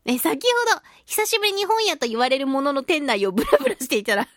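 A woman's voice: high voice, very animated, fast, a bit bright, no echo, clean studio sound. A woman hosting a casual radio talk show, chatting expressively with a giggly manner as she reads listener mail. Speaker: radio show host and voice actress.

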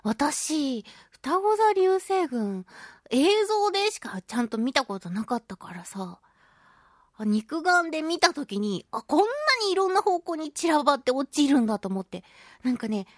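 High-voiced woman hosting chatty radio talk. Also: moderate pace, no echo, studio quality. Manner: animated storytelling with sound-effect words and playful polite phrasing. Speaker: radio show host. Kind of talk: casual solo radio talk.

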